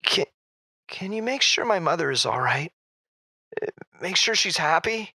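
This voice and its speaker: Radio-play victim, male actor: high, slow, halting delivery, frightened and full of regret. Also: expressive, pushed and bright, husky, no room sound, clean studio sound, full character voice. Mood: remorseful pleading. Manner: halting, pleading. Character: terrified, remorseful dead man pleading with radio hosts. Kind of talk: audio drama acting.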